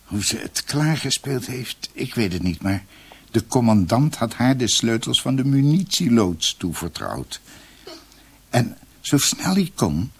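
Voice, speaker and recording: An actor playing a grave doctor who recounts his family's past in a halting, reflective radio drama narration. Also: mid-range voice, big pitch swings, breathy edge, exaggerated voice. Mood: reflective, sombre.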